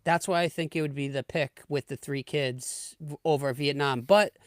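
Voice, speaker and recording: A man's voice: on the high side, fairly even intonation, moderate pace, dry recording, clean studio sound. A man in his thirties hosting a podcast, chatting casually and enthusiastically, often trailing off mid-sentence. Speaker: podcast host. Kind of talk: casual chat.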